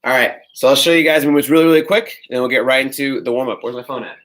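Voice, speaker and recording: A man's voice: medium pitch, fairly even intonation, very fast, fairly bright tone. A man in his thirties with a stuffy, congested nose, casually coaching a live workout while demonstrating stretches in a garage gym. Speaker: fitness coach. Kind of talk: live-streamed workout instruction. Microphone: camera mic from across the room. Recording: echoey garage, voice from a distance.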